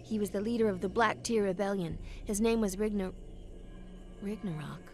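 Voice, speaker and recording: A woman's voice: mid-range voice, normal speed, soft background music, close to an everyday voice. A woman as a serious young rebel woman. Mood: grave. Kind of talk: video game cutscene acting.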